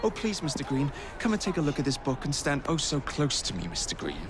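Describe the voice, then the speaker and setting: An actor playing a cocky, teasing young Victorian rogue with a sardonic manner. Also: male voice, fairly high pitch, wildly swooping pitch, brisk. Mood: mocking. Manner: mocking, sardonic. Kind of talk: video game cutscene acting.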